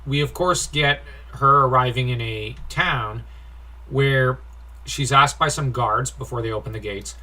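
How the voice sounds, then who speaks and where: Male comic reviewer, unhurried and bright-toned; informal, nitpicky commentary, prone to sighs. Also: mid-range voice. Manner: nitpicky, critical, sighing.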